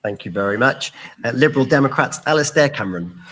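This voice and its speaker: Lively-voiced man announcing speakers. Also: mid-range voice, fast. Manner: brief, formal announcements. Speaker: moderator. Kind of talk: introducing speakers.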